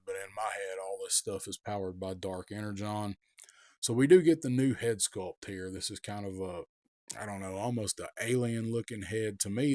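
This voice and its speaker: A man with a cold, raspy, giving a casual, rambling toy review with many ums and restarts. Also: on the low side, darkish tone, no echo, studio quality.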